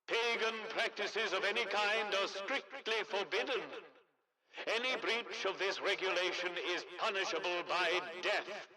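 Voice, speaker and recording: A man playing an authoritarian military announcer in a video game, formal, clipped and politely menacing over a village loudspeaker. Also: sits high, a little slow, heavily acted, poor audio. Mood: stern, official. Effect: village loudspeaker, radio-like PA. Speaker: public address announcer. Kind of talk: scripted loudspeaker propaganda announcements.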